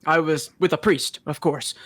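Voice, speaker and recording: A man's voice: a little high, steady tone, normal speed, a bit bright, no room sound. A man reading a character's lines aloud in a joking group story reading. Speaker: character reader in a group fan fiction reading. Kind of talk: acted dialogue reading with joking asides.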